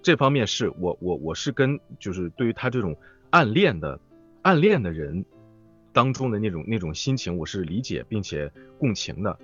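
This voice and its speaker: A man's voice: lowish voice, very animated, moderate pace, a bit bright, breathy edge, lo-fi sound, soft background music. A man speaking as a voice actor guest in an interview, reflective and hesitant as he searches for words. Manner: hesitant, thoughtful phrasing.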